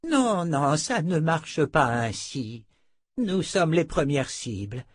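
Guy doing a tired old wizard for a fantasy audiobook, kindly, high husky voice, slow and out of breath. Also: big pitch swings, no room sound, clean studio sound, exaggerated voice. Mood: weary, insistent. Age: older. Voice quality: breathy, faltering.

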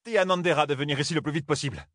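A man voicing a fiery older woman warrior serving as a maid, shrill and commanding. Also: racing, fairly bright tone, dry recording, studio quality, natural voice. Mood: fierce.

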